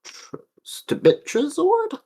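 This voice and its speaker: A man's voice: very high-pitched, wildly swooping pitch, slow, dark tone. A playful, joking man co-hosting a casual chat, often repeating himself. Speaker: co-host.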